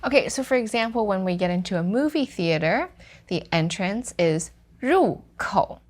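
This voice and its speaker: A woman teaching Chinese vocabulary in a lively, quick lesson dialogue. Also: medium pitch. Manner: lively, quick, gives example sentences slowly.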